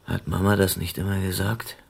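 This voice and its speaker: Tired brooding private eye in a radio play, very husky and gravelly, short weary sentences. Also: male voice, low voice, little pitch movement, normal speed, exaggerated voice. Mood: weary, bitter. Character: exhausted, hunted private detective, stubborn and brooding. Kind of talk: German radio drama acting.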